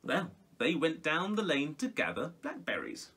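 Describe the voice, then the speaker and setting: A middle-aged man reading a children's story expressively and theatrically, with dramatic pauses and exclamations. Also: fairly high pitch, sing-song, a bit bright, husky. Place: small music room at home, indoors.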